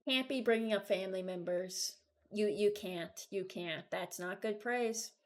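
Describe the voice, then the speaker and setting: A young adult woman giving casual, unscripted reaction commentary, hesitant and thinking out loud. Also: mid-range voice, measured pace. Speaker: video creator ranking game voice lines.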